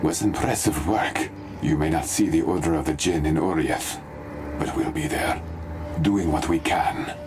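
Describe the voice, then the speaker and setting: A man's voice: extremely low pitch, measured pace. A man playing a grave, dutiful desert mystic in a fantasy game, formal and restrained. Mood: calm, grave. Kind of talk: dramatic fantasy game acting. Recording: music under the voice.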